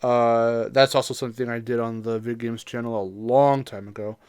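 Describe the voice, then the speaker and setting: Rambling podcast co-host, medium pitch, dry sound. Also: steady tone, moderate pace, darkish tone, smooth, no echo. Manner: rambling, joking, profane. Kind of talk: casual gaming podcast chat.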